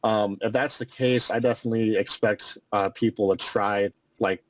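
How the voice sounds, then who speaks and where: Hesitant low-voiced man previewing a race. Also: steady tone, no echo, rough recording. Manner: halting, lots of likes and ums. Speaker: guest analyst. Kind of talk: casual race preview talk.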